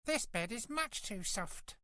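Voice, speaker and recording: Man putting on a high little storybook girl. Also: animated, takes it slowly, bright, forceful tone, no room sound, studio quality, full character voice. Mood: displeased. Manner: exclamatory, hesitant when explaining. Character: little fairy-tale girl, polite, childishly earnest.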